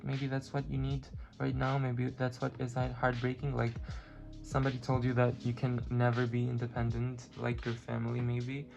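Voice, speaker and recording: A card reader who is not a native English speaker, giving a spiritual pick-a-card reading and often pausing to search for words. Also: medium pitch, poor audio. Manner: halting, many fillers, searching for words. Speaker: tarot and oracle card reader. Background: clear background music.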